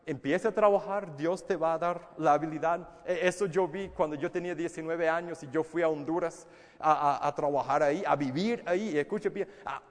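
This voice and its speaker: A man's voice: on the high side, brisk, big-room sound. Earnest male preacher, English native. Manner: repeats words and restarts, earnest and emphatic. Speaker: pastor. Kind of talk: sermon.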